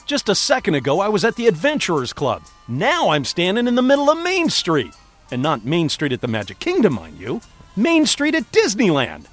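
An actor playing an excitable, chatty, mild-mannered man stranded alone, thinking aloud theatrically in an audio drama. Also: high-pitched, exaggerated voice. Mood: bewildered. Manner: theatrical, animated, self-talking.

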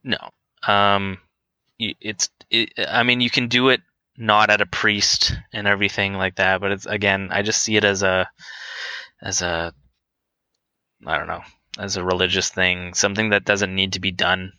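A man in his late twenties talking candidly and casually as a guest, hesitant and halting, feeling his way through his answers. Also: low voice, level tone, pushed and bright, no echo, poor audio. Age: twenty-nine. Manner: hesitant, halting, self-conscious. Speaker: interview guest on a podcast.

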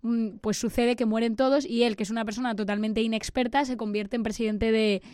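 A woman's voice: on the high side, fairly even intonation, brisk, a little mellow, no room sound, studio quality. A woman with an accent from Spain, hosting a casual panel chat and steering the others along. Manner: directs and prods the others. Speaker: host of a magazine show.

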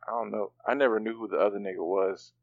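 A man's voice: on the low side, steady tone, quick pace, creaky, dry recording, phone-quality sound. A man co-hosting a podcast in casual chat, rambling loosely with plenty of fillers and slang. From part Bahamian. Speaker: podcast co-host.

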